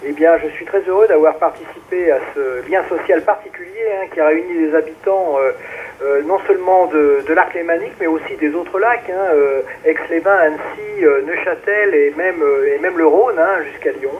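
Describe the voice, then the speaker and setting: A man's voice: a little high, normal speed. A man giving a conversational amateur radio check-in over a two-way radio link. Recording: tinny ham radio link, faint noise.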